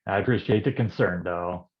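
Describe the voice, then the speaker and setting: A world-weary private eye played by a man, talking in a very low register. He drawls hard-drinking film noir slang, part voiceover and part dialogue. The sound is dull, like a livestream. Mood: gruff, fond. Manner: slangy hardboiled delivery.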